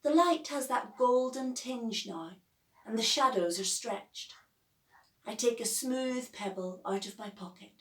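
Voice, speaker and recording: A woman narrates a children's novel with measured, expressive phrasing. Her pace is slow and her pitch is average. The room echoes around her, and she sounds slightly distant from the microphone. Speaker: author reading own novel.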